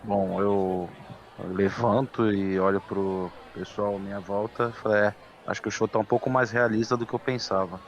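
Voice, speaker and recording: A man's voice: lowish voice, steady tone, normal speed. A Brazilian man playing a tabletop RPG, casually telling what his character does and says in first person. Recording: dull, lo-fi microphone sound.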